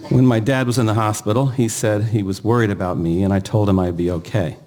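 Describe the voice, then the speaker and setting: Older male eulogist, low and dark-toned, halting delivery with pauses. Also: fairly even intonation. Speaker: eulogist, brother of the deceased. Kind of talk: eulogy, partly read aloud.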